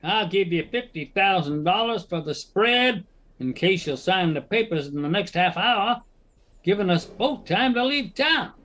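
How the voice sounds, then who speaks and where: A man's voice: high-pitched, expressive, a little slow, a bit bright. A man playing a nervous, scheming townsman in a western audio drama, urging and wheedling in an American Western drawl. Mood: urgent persuasion. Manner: agitated, emphatic frontier drawl.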